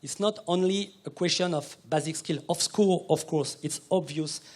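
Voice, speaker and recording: A man presenting a research project on a conference panel, speaking as a with halting phrasing and many repeated words. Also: a little high, darkish tone.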